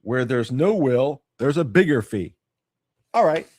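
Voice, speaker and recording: A man's voice: mid-range voice, lively intonation, unhurried, darkish tone, dry recording, clean studio sound. A man with a stuffy, nasal voice from allergies, hosting a casual solo legal news commentary and reading out jokes in a rambling, self-correcting way.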